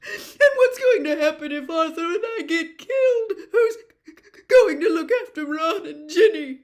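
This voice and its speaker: A woman's voice: very high voice, animated, normal speed, voice pushed up. A woman voicing a terrified middle-aged mother who is crying hard, stammering and wailing through her words. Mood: tearful.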